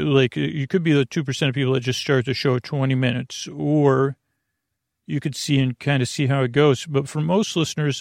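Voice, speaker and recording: Gravelly, creaky-voiced man rambling at bedtime. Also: medium pitch, darkish tone, no echo, studio quality. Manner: meandering, halting, digressive. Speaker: podcast host. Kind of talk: rambling bedtime podcast monologue.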